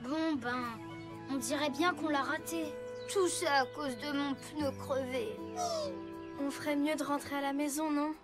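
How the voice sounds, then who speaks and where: High-voiced, earnest young cartoon motorbike. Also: female voice, fairly even intonation, measured pace. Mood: dejected. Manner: earnest, childlike. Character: young rescue motorbike, earnest, determined, eager leader. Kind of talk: animated children's cartoon acting. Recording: music behind the voice.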